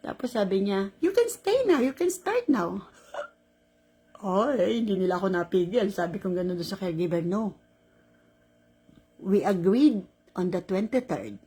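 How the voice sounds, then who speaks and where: A woman in her sixties with a Filipino accent, telling a caregiving story in a casual, animated vlog, laughing often. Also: medium pitch, normal speed, long pauses, husky. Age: 60s. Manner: animated, laughing, mixes Tagalog and English.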